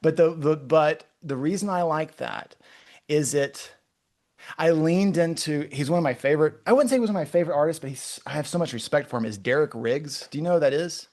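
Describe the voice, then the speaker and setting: Rambling Texan man with halting speech. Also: on the high side, darkish tone. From Texas. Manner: rambling, halting, many restarts. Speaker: guest artist. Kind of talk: casual interview chat.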